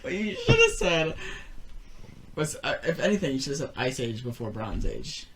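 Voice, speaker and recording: A woman's voice: rumbling low, wildly swooping pitch, normal speed. A young adult woman co-hosting a podcast, slangy and sweary, groping for clues in a casual guessing game. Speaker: podcast co-host. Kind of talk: casual chat while playing a word guessing game. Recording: noisy room with clattering and clicks.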